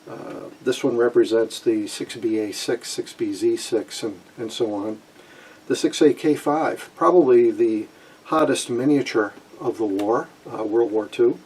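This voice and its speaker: A man in his sixties presenting an informal technical explanation in a halting way, with frequent pauses, in a small room at home. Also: mid-range voice, a little mellow, husky, recorded from a distance, poor audio. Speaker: presenter of a vintage radio electronics channel.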